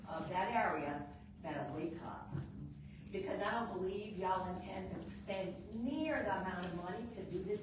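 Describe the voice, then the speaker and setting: A woman's voice: medium pitch, noisy background. An older grandmother with a New Orleans accent, giving a blunt, angry public comment in a council chamber. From New Orleans area. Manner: blunt, angry, drawn-out emphasis. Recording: echoey hall from far off.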